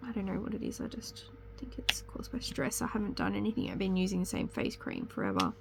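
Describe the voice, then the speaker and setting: A woman's voice: medium pitch, huge pitch swings, quick pace, vocal fry, noisy background. A young woman with a slight cold chats casually to camera as host of a crafting channel, rambling and correcting herself as she goes. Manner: rambling, chatty, self-correcting. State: sniffly with a cold.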